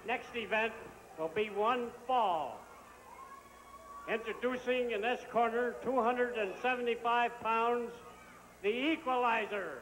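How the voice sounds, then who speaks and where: Drawn-out male ring announcer, echoing arena. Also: fairly bright tone, slight background noise. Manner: drawn-out, booming. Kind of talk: formal ring introductions. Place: indoor wrestling arena. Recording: distant arena PA with long reverb.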